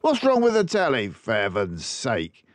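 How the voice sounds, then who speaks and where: A man's voice: medium pitch, wildly swooping pitch, unhurried, no echo, studio quality. A man reading a storybook voices an irritable, dismissive father who talks in a scornful, exasperated manner.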